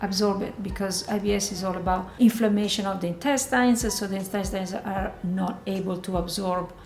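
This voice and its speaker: A woman's voice: mid-range voice, brisk, slight background noise. Chatty older woman in an echoey room. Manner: chatty, animated, fillers like "you know". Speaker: co-host. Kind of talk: casual chat.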